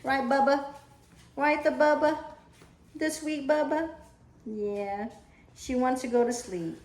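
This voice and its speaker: Woman, children's read-aloud, higher-pitched, unhurried, expressive, echoey room. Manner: expressive, deliberate storytelling. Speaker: storyteller reading to children.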